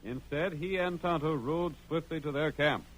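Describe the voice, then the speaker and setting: A man's voice: on the high side, normal speed. An American man narrating a radio western as announcer in a scripted old-time style.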